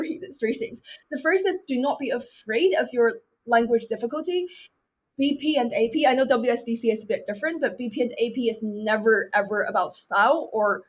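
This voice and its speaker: Young woman from china, english is her second language, kinda high voice, earnest explainer on a podcast. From mainland China. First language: Chinese. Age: young adult. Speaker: podcast guest, debater. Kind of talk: reflective interview talk with advice.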